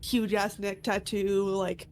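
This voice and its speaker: A woman's voice: medium pitch, level tone, slow pace, soft background music. A congested woman with a stuffy nose, a player who rambles with frequent filler words and laughter.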